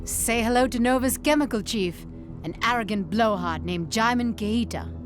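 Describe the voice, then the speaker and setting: Woman as a higher-voiced, sardonic agency operative. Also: close to an everyday voice. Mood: sardonic briefing. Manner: brisk, sardonic, no-nonsense. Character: tough, sardonic female agency intelligence officer, confident. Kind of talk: video game mission briefing. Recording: loud music behind the voice.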